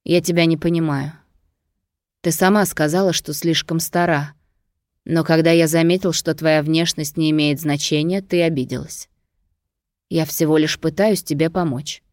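A man's voice: fairly high pitch, fairly even intonation, normal speed, dry recording, studio quality, natural voice. A woman narrator voicing a domineering, possessive and impatient wealthy Greek lover in an audiobook. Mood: irritated.

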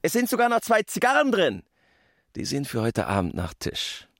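An actor playing a plodding, devoted country doctor and husband in a radio drama, speaking in a plain, good-natured way. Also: male voice, fairly high pitch, huge pitch swings, moderate pace, a bit bright, exaggerated voice. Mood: contented.

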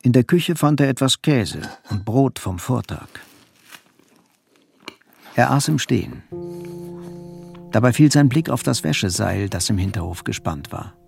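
A sober male storyteller tells a bleak radio tale. His voice is creaky and mid-pitched, with measured, detached delivery. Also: expressive, darkish tone. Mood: sombre. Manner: measured, matter-of-fact. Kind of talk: scripted literary narration in radio drama. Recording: faint music behind the voice.

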